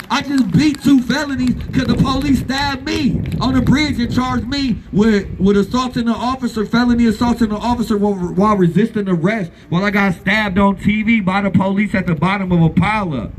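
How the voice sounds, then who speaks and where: A man's voice: high voice, moderate pace, a little hiss or hum, poor audio. Fiery, profane man in his thirties. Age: 30s. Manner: blunt, profane, fiery, repeats phrases for emphasis. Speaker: activist speaker at a rally. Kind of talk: impassioned rally speech. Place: outdoor gathering.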